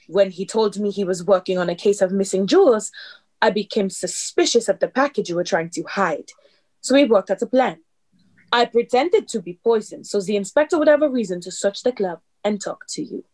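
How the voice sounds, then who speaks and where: A woman playing a poised, clever club performer in a radio play, explaining things with deliberate dramatic delivery. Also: mid-range voice, animated, darkish tone, close to an everyday voice. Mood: triumphant explaining.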